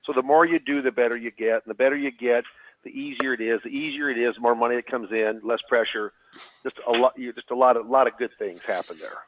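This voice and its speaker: A man who works as a financial advisor, speaking as a webinar guest, answering questions with a halting delivery full of repeated words. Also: medium pitch, steady tone, a little hiss or hum. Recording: tinny phone line.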